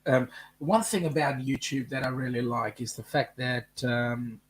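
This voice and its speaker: A man's voice: mid-range voice, fairly even intonation, normal speed, slight background noise. A man, co-hosting a casual live-stream chat and joking playfully with his wife. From Australia. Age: late 50s. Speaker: co-host.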